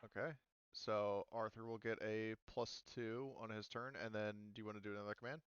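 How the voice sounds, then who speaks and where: Smooth-voiced man running a tabletop game. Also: lowish voice, little pitch movement, a little fast, a bit bright, highs cut off. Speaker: game master. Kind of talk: casual tabletop game narration and rules talk.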